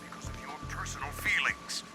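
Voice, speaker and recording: An actor voicing a cold, calculating villain commander in a video game, with breathy, deliberate, menacing delivery. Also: male voice, a little high, natural voice, low-quality recording. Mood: cold, controlled. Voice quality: breathy, whispery. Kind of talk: video game cutscene acting. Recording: music under the voice. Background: music.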